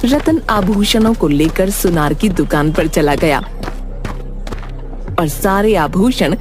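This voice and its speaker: A woman's voice: lowish voice, lively intonation, slow pace. Woman narrating stories over faint music. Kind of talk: scripted storytelling narration.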